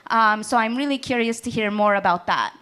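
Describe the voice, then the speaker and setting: A woman's voice: fairly high pitch, a little fast, pushed and bright, smooth. A woman from Egypt hosting a live on-stage interview, praising the performer and asking thoughtful questions. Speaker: podcast host interviewer. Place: small music venue stage.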